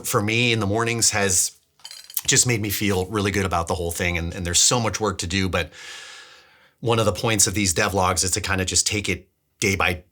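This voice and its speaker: A man with a slightly low, bright and forward voice hosts a casual devlog. He thinks aloud in a rambling, informal way, doubling back on words as he explains himself to viewers. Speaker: DIY filmmaker and vlog host. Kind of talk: casual devlog talk to camera.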